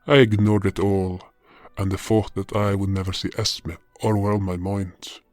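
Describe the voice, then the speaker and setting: A man's voice: fairly low pitch, level tone, moderate pace, a little mellow, dry recording, lightly acted. A man playing a solemn, powerful guardian hero who narrates his journey in a fantasy audio drama. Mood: brooding. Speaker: narrator and lead actor. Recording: music behind the voice. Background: music.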